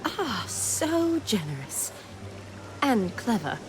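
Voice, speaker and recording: A woman playing a good-humoured, gently wry young woman in a video game, with a playful teasing manner. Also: fairly high pitch, very animated, slow, rough recording. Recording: loud music and crowd behind.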